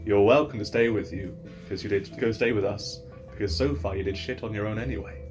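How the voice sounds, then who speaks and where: A man's voice: fairly low pitch, fairly quick. A man playing a tabletop game, chatting casually with dry jokes. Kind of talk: casual banter. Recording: background music under the talk. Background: ambient music.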